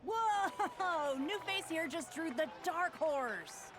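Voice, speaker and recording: An actress playing an excitable, boastful young woman in a video game. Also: very high voice, slow, music in the background, full character voice. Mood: amazed. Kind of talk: video game character acting.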